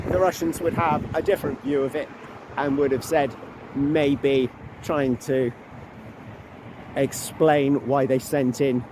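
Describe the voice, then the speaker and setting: A man walking through a city, narrating a travel vlog in a chatty, unhurried way with asides. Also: a little high. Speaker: travel vlogger. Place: outdoors on city streets by a river. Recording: noisy outdoor street recording. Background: loud traffic and city noise.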